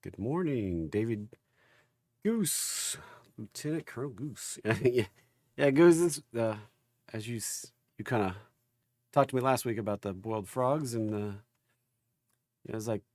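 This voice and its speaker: Guy with a gravelly voice getting over being sick, chatting casually on a stock livestream, folksy, dry close sound. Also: mid-range voice, big pitch swings, moderate pace, pauses often, darkish tone, no room sound. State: recovering from an illness. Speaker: host of a stock market livestream. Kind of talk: casual livestream chat and market commentary.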